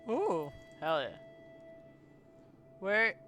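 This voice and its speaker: A man's voice: sits high, very animated, very slow, pushed and bright. A man reacting casually while live-streaming a video game, with game music behind him.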